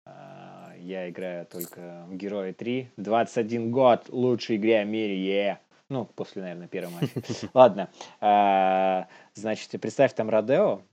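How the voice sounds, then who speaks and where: A man's voice: lowish voice, a little slow, vocal fry, mellow, lo-fi sound. A man co-hosting a news commentary show, reading items and joking casually. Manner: joking, laughs often.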